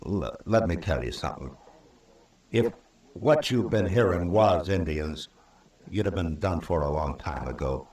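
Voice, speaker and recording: A calm, plain-spoken marshal in an old radio western. The voice is very low and measured, with patient skepticism. The vintage recording sounds roomy and a little distant. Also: male voice.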